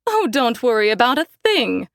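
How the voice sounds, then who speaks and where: A woman's voice: fairly high pitch, sing-song, moderate pace, creaky, dry recording, clean studio sound, pitched above the performer's own voice. A woman voicing a prim, meddling older mother who talks in a sugary, condescending way in an audiobook. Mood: gushing.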